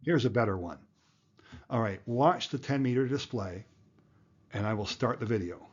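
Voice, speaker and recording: A man's voice: medium pitch, moderate pace, slightly rough, lo-fi sound. A man narrating an explanatory tutorial as an instructor, plain-spoken and going through things step by step. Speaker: amateur radio instructor, video host.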